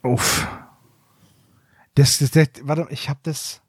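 Ein Mann, der in einem lockeren Podcast aufgedreht und verspielt beim Quiz rät. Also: male voice, a little high, slow pace, breathy edge, no room sound, studio quality. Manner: excitable, playful guessing. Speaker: podcast co-host. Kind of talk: casual podcast chat.

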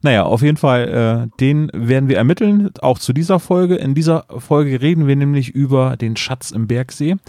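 A man's voice: mid-range voice, lively intonation, normal speed, few pauses, mellow, no echo. Dry-sounding young male podcast host. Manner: chatty, laughs often, leads the talk. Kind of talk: casual podcast chat.

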